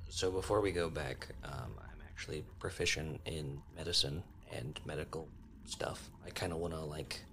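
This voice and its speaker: A man playing a tabletop role-playing game, chatting casually in a halting way, with noise in the background. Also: extremely low pitch, fairly bright tone. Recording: constant clear background noise.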